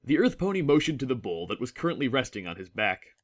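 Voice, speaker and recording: A man's voice: mid-range voice, sing-song, fast talker. A man reading scripted story narration as the narrator of a dramatic audiobook.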